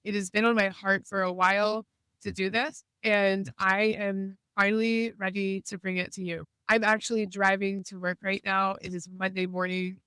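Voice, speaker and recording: A woman hosts a podcast in an earnest, encouraging tone, her voice a little congested from a cold. The sound is close and dry, with no room echo, and she speaks from inside a car. Her tone stays smooth and clear despite the congestion. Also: medium pitch, fairly bright tone. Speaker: podcast host. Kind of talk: casual podcast monologue. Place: in a car while driving.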